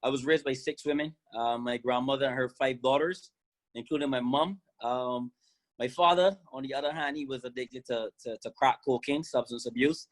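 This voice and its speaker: A man's voice: mid-range voice, fairly even intonation, normal speed, low-quality recording. Upbeat man from Barbados. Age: 30s. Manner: enthusiastic, laughs often. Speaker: guest, former fast bowler. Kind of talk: video-call interview.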